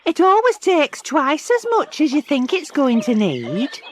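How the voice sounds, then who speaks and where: Man doing a chatty farm woman, high voice, very lively sing-song phrasing, storybook. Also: a little slow, a little hiss or hum, full character voice.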